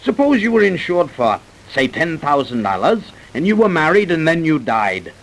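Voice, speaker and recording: An actor playing a greedy, self-assured doctor who persuades briskly and smoothly, in old-time radio drama style. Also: male voice, on the high side, big pitch swings, poor audio. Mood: scheming, confident. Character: scheming, smooth-talking, greedy doctor plotting fraud. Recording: vintage radio broadcast recording.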